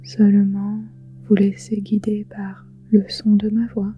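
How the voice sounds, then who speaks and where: A woman guiding a relaxation meditation in a soft, breathy, whispery voice, soothing, with gentle pauses. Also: mid-range voice, monotone, lo-fi sound. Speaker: yoga nidra guide. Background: soft music with singing bowls.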